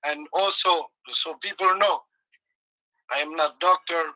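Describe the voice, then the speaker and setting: A man with a very bright, sharp voice, pitched somewhat high, who speaks in halting, broken English. He delivers deadpan jokes and slow, deliberate phrases while acting as a guest co-host and leading listeners in meditation. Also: rough recording. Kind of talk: rambling comic chat turning to guided meditation.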